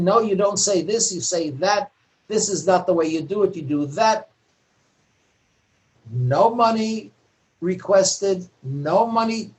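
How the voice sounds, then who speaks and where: An older man with a slightly high voice gives a relaxed lecture over a video call. He is candid, dry and opinionated, and his voice sounds a little distant from the microphone. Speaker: guest lecturer and author. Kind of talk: casual talk to a community group.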